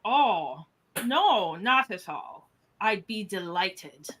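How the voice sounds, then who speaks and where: A woman playing a fussy, eager starship captain in a radio play, speaking formally and deliberately. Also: medium pitch, big pitch swings, fairly bright tone, slight background noise, full character voice. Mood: delighted.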